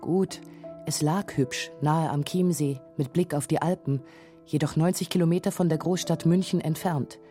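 A woman narrates a radio documentary from a prepared script. Her voice sits low and has a dark, mellow tone. Faint music plays behind her. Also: steady tone, moderate pace.

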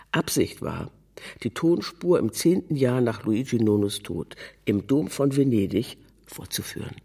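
A man reads a literary narrative in with measured, deliberate phrasing. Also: medium pitch, gravelly, dark tone, husky. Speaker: narrator, reader of a literary text.

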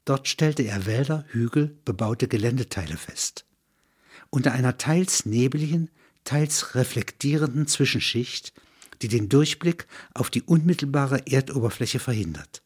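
A man's voice: mid-range voice, unhurried, darkish tone, husky. A man reading literary narration like a documentary report, sober and matter-of-fact.

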